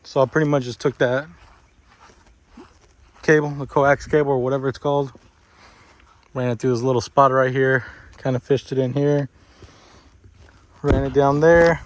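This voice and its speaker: A man giving a casual, offhand hands-on walkthrough as a DIY vlogger, speaking informally. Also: medium pitch. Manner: informal, offhand, uses y'all. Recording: noisy handheld video with clicks.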